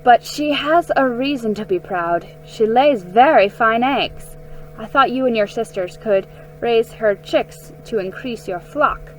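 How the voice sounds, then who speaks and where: A woman voicing a kindly, generous neighbour lady from a children's storybook, encouraging and gently emphatic. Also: a little high, a little slow, soft background music, close to an everyday voice, rough recording. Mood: fond, proud.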